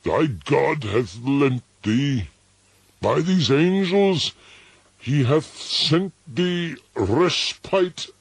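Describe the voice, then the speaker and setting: A man playing a grieving, frantic narrator of a gothic poem in an impassioned, theatrical delivery, on a slowed-down recording. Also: a little high, no room sound, voice pushed up, full character voice. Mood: anguished, commanding. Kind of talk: dramatic gothic poetry recitation.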